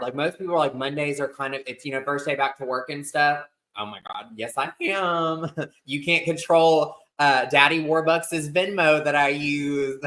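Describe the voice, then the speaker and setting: Chatty man in his thirties, bright voice. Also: fairly high pitch. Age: 30s. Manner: chatty, rambling, full of fillers. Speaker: podcast co-host. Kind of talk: casual chat.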